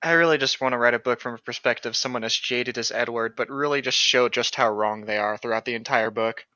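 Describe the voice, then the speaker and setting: A man's voice: mid-range voice, fast talker, bright, forceful tone, no echo. A man co-hosting a casual chat, tossing in flippant asides and short jokey interjections, on a slightly dull microphone. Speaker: podcast co-host.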